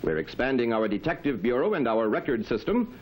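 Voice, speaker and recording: A man speaking as a police chief, giving a formal, measured, official statement. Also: mid-range voice, very animated, a little mellow.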